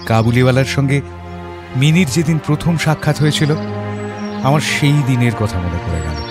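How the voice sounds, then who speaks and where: Male actor as compassionate Bengali father, first-person storytelling, reflective, heartfelt, medium pitch, music bed. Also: animated, normal speed, natural voice. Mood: reflective, moved. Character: gentle, compassionate Bengali gentleman, father of a bride.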